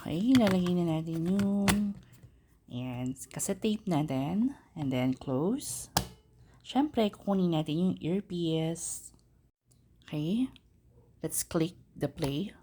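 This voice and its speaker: A woman's voice: on the low side, expressive, slow, pauses often. A woman with a Filipino accent chatting casually as a vlogger in an unboxing video, switching between two languages. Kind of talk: casual unboxing vlog chat, mixing Tagalog and English. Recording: clearly noisy background.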